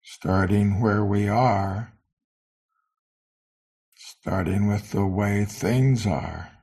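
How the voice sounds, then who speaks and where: A man teaching meditation, guiding a sitting in short, sparse phrases with long pauses between them. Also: lowish voice, a little mellow, husky. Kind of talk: guided meditation.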